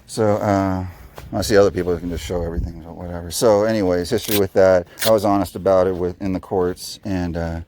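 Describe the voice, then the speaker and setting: A man in his thirties with a raspy, low voice, talking candidly and rambling with many restarts while doing yardwork outdoors. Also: fairly even intonation, fast, warm tone, slight background noise. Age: 30s. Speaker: vlogger. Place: outdoors in a yard.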